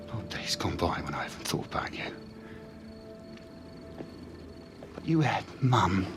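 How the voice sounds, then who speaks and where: Low-voiced, regretful British father. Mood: pained, earnest. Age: middle-aged. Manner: earnest, subdued, halting. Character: regretful, pleading estranged father, middle-aged man. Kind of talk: dramatic game cutscene dialogue.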